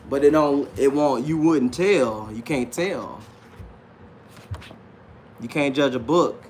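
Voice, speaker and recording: A man's voice: medium pitch, fairly bright tone. Self-assured man talking over background noise. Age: late 30s. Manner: self-assured, slangy, repeats himself, often says "man". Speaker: livestream host and self-published author. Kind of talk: casual unscripted livestream monologue.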